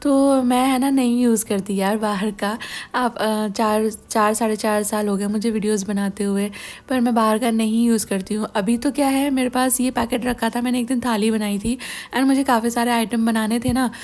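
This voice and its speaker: A woman's voice: a little high, fairly even intonation, moderate pace, darkish tone. A woman in her thirties from India, a cooking vlogger chatting casually at home on a clip-on mic, mixing in English phrases, her throat slightly sore. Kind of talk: casual vlog with sponsored segment. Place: living room at home, indoors. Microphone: clip-on lapel mic.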